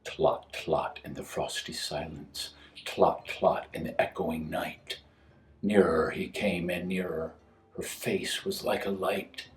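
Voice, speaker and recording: A man's voice: sits low, steady tone, slow, a little hiss or hum. A man reading a poem dramatically in an echoey room. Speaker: poem reader.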